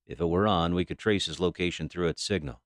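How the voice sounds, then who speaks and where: An actress playing a stern, businesslike FBI agent in an audio drama, questioning in a formal, clipped manner. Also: female voice, a bass voice, quick pace, dry recording, studio quality. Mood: grave, firm.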